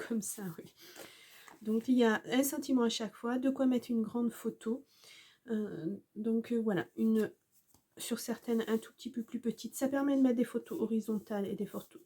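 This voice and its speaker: Female crafter, sick with a cold, husky voice, chatty and halting. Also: medium pitch, a little mellow. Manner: chatty, hesitant, many fillers, apologetic. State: has a cold, congested. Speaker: scrapbooking crafter. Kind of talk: casual craft show-and-tell.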